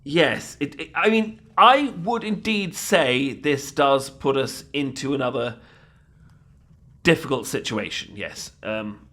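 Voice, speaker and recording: A man's voice: mid-range voice, expressive, slow, bright, forceful tone. A man with a British accent playing a bumbling, timid, anxiously optimistic knight in a comedic fantasy audio roleplay, stammering and apologetic. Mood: sheepish, nervous. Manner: stammering, hesitant, apologetic, politely flustered.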